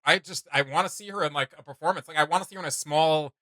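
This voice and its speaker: Male reaction co-host, somewhat high and strikingly bright voice. Casual, rambling, enthusiastic fan chat. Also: quick pace, no echo, studio quality.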